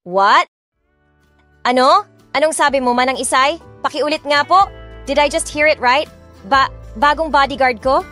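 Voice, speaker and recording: A woman's voice: sits high, normal speed, long pauses, faint music behind. A woman playing a haughty rich brat. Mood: shocked. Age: 20s. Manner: sharp, bratty, mixes English into speech. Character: rich spoiled young woman, bratty, haughty, defiant. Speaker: voice actor and narrator. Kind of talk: audio drama acting.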